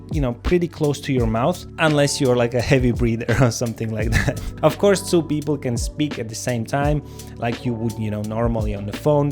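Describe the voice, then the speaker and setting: A man with a medium-pitched voice presents a gear review as a channel host. He speaks casually and explains things step by step, addressing viewers directly. Soft music plays behind his voice. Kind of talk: casual scripted product review to camera. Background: background music.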